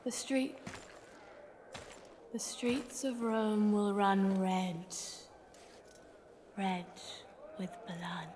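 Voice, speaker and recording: An actress playing an eerie oracle in a video game, prophesying in an ominous, trance-like, portentous way. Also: female voice, medium pitch, little pitch movement, very slow, pauses often, darkish tone, a little hiss or hum. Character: eerie female oracle foretelling bloody doom. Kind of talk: dramatic video game acting.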